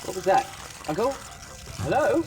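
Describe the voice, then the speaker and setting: A man's voice: sits high, sing-song, normal speed, background noise. A man playing a timid young junior demon in a radio drama, anxious and jumpy in his short replies.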